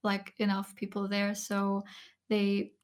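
A woman's voice: mid-range voice, completely flat delivery, takes it slowly. A young woman whose first language is German, talking casually as a podcast guest with lots of ums and restarts. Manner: hesitant, many ums and restarts. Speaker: podcast guest, ASMR creator. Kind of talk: casual interview chat.

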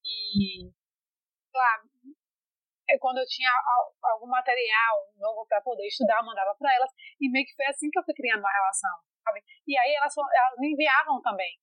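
Woman, fairly high voice, animated chatty storyteller, dry close sound. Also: fairly quick, no echo, highs cut off. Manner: chatty, animated storytelling with many fillers and restarts. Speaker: interviewee, foreign master's student in France. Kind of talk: casual conversational interview.

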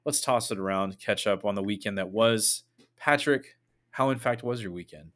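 Joking thirties podcast host. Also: male voice, fairly low pitch, normal speed, fairly bright tone. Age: 30s. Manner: joking, self-mocking, rambling. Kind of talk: casual sports podcast chat.

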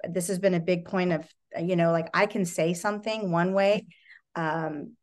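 A woman with a notably low voice shares her thoughts in a relaxed, searching way, stopping often to find the next word. She speaks into a home video call setup. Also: normal speed. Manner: reflective, searching for words with many fillers. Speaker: meeting participant sharing. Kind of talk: casual sharing in a recovery study meeting.

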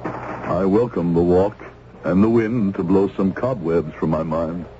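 An actor playing a solemn, stern but compassionate minister in a radio drama, speaking gravely and with measure. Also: male voice, sits low, steady tone, unhurried, mellow. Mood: troubled. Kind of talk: old-time radio drama acting. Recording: dull, noisy old radio recording.

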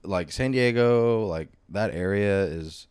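A man's voice: fairly low pitch, slow, a little mellow, clear, resonant tone, dry recording. A man in his twenties, a podcast guest in casual chat, easygoing and agreeable, leaning on "dude" and "like.". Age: 20s.

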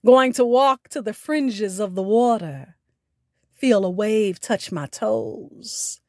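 A woman podcast host reading a scripted sign-off in a deliberate, drawn-out, expressive way. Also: mid-range voice, no room sound, clean studio sound. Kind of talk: scripted sign-off narration with spoken poetry. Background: music.

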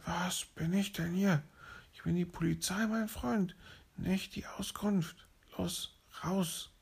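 A man voicing a bossy male parrot police officer who scolds officiously, in a gravelly husky voice. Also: high voice, steady tone, slow pace, voice pushed up, heavily acted. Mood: scolding.

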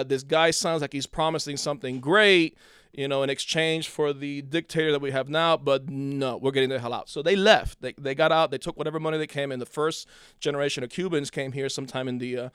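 Middle-aged guy from cuba rambling through a story, smooth clean voice, dry room. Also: medium pitch, fairly even intonation, brisk, fairly bright tone, no room sound. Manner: rambling, repeats and restarts words, storytelling. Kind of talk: casual conversation.

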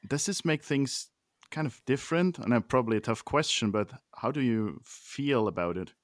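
A man's voice: medium pitch, expressive, moderate pace, no echo, studio quality. A middle-aged man hosting an interview over a video call, asking questions with a casual, hesitant manner. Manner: hesitant, restarts questions with fillers. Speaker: podcast host.

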